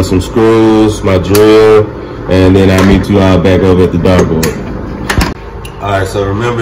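Man, thirties, low voice, casual DIY host, informal step-by-step talk, noisy home room recording. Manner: informal, talking through steps as he works. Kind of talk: casual hands-on product demo and tutorial. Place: small home game room, indoors.

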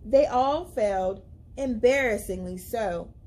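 A young woman with a high voice reads a picture book aloud for children. Her delivery is slow and deliberate, with dramatic emphasis on key words, in an expressive storytime style. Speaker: library storyteller. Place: indoors, library among bookshelves.

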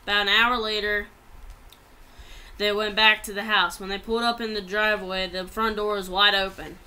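A woman's voice: medium pitch, flat delivery, normal speed, sharp tone, clear, resonant tone. A young adult woman reads a written story aloud plainly, stumbling over some words, in a small room at home on a laptop microphone. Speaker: amateur writer reading own story.

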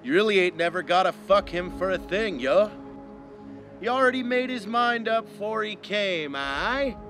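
A man in his thirties giving a deliberate, mock-dramatic reading of rap lyrics. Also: high-pitched, animated, fairly bright tone. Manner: deliberate, theatrical delivery. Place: outdoors among trees. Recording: music under the voice. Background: music.

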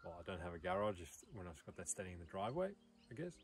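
A man in his thirties talking casually and haltingly to camera as a solo vlogger, in an unhurried way. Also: low-pitched, sing-song. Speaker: vlog host. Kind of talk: casual solo camping vlog. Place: outdoors in an open valley, partly inside a car. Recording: far from the mic, outdoor ambient noise.

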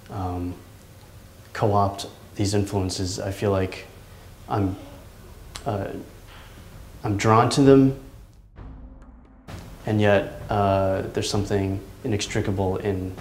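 A man in his thirties, an artist, talking reflectively in a seated interview in his studio, pausing often with ums and restarts. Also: lowish voice, steady tone, a little mellow, a little hiss or hum. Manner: thoughtful, halting, many ums and restarts. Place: large indoor art studio. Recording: echoey studio room.

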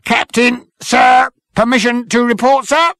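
A narrator putting on a blustering military man, second-in-command. The voice is loud and raised high, clipped and full of eager zeal, in a comic audiobook style. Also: steady tone, a little slow, no room sound, clean studio sound. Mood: zealous.

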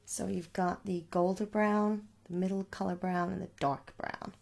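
Young woman, gravelly fry, reassuring shopkeeper, ASMR roleplay, conversational, faint background noise. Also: fairly low pitch, level tone, normal speed, darkish tone. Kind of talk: ASMR makeup store roleplay, soft casual sales chat. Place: small room at home.

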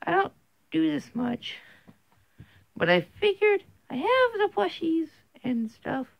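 Self-conscious amateur creator with fry and lively pitch. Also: slow pace, no echo. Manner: apologetic, self-conscious, rambling. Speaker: amateur video creator and puppeteer. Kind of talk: casual chat to camera introducing a homemade puppet show. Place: small bedroom indoors. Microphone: camera on the bed, close up.

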